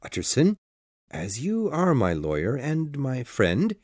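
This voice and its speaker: A man's voice: mid-range voice, huge pitch swings, slow, a little mellow, no room sound, clean studio sound, exaggerated voice. A man playing a pale, shaken doctor who speaks in a thin, weak voice, anxious and insistent. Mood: agitated. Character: pale shaken middle-aged male doctor, nervous. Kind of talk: audiobook dialogue.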